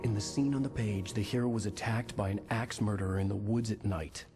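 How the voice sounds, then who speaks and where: A man's voice: on the low side, fairly even intonation, husky. A man playing a troubled writer in a video game, brooding and desperate, with dramatic delivery. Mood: brooding, uneasy. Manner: brooding, measured narration, frantic when calling out. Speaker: protagonist and narrator. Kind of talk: video game dramatic acting and scripted noir narration. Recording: loud game music bed. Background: dramatic game music.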